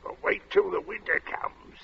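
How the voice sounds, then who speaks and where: A man acting as a heat-worn, chatty working-class gravestone carver in a 1940s-style radio drama, with folksy, unhurried talk. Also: high-pitched, creaky, a little hiss or hum. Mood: chatty, weary. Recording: muffled old radio drama recording.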